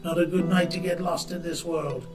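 Man as genial old Irishman, raised pitch. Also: level tone, moderate pace, reverberant, far from the mic, over music, close to an everyday voice. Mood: ominous, knowing. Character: friendly, mysterious old man, genial rural wanderer. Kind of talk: audiobook character acting.

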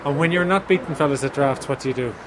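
A man conducting a casual radio interview outdoors, teasing with quick follow-up questions. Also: on the high side, background noise. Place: outdoors among a crowd.

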